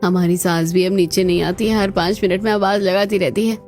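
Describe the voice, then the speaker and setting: A woman's voice: on the low side, fairly even intonation, brisk, a little mellow, natural voice. A woman voicing housewives and mothers-in-law in a family cartoon, chatty and exclamatory. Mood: weary complaining. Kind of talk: scripted cartoon dialogue.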